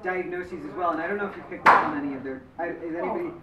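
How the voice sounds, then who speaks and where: A man's voice: a little high, rapid-fire, muffled recording. A man, the memoir author and lead, answering audience questions in a rambling way, full of fillers and restarts. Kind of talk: post-screening Q&A answers. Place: community center screening room. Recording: echoey room from far off with background noise.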